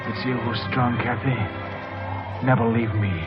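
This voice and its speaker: A man plays a tormented, passionate young stable hand with a mid-range voice. He is brooding and bitter, delivering lines with theatrical intensity in an old radio drama. The recording sounds dull, with music clearly behind it. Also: medium pitch, flat delivery, normal speed, close to an everyday voice. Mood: brooding, fervent.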